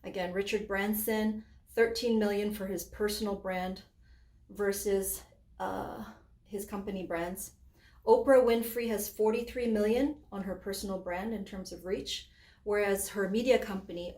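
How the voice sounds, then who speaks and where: A woman's voice: mid-range voice, fairly even intonation, a little slow, darkish tone, reverberant. A woman in her forties, raised between Hong Kong and Canada, giving a conversational webinar presentation with frequent fillers and restarts. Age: 40s.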